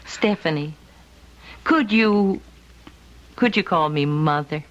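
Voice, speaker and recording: An actress playing a lonely, tender woman who longs to be a mother, speaking gently in an old-time radio drama. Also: deep voice, slow pace. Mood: tender, yearning. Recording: dull lo-fi radio recording.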